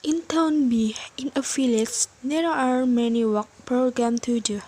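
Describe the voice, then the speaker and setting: A woman's voice: on the high side, steady tone, a little slow, warm tone. A young woman with an Indonesian accent playing a friendly student in an amateur radio drama, reciting her lines. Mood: helpful. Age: young adult. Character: friendly young female student organising a village trip.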